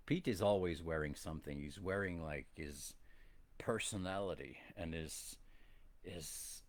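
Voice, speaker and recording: A man's voice: mid-range voice, no room sound. A man from Quebec with a French-Canadian accent, a native French speaker, hosting a casual bilingual live stream in a chatty, rambling, self-deprecating way. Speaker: podcast host.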